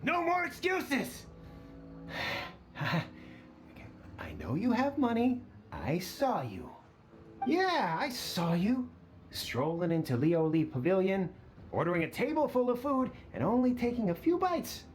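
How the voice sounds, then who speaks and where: A man's voice: sits high, wildly swooping pitch, takes it slowly, soft background music, exaggerated voice. A man voicing a greedy, cowardly small-time thug boss in a video game, theatrical, wheedling and blustering. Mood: indignant.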